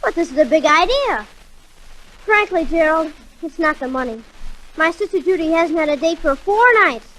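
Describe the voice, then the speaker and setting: A very high, piercing boy's voice, cocky and smart-alecky. He schemes in grand, formal phrases like a radio comedy kid brother, on a vintage 1940s recording. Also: expressive, moderate pace, a bit bright, low-quality recording. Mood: scheming. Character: cocky, wisecracking young boy, schemer, pesky kid brother.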